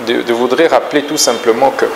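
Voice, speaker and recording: Congolese man, talk-show guest, lecturing and emphatic, mid pitch, humming echoey room. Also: fairly even intonation, fast, gravelly, background noise. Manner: emphatic, lecturing, lists points one by one. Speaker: political guest. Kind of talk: explanatory talk-show interview.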